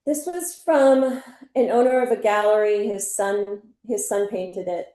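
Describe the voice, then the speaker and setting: A woman from New Jersey giving a casual home tour and interview, chatty and halting, laughing easily. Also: mid-range voice, warm tone. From New Jersey, USA. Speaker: interview guest and expat homeowner.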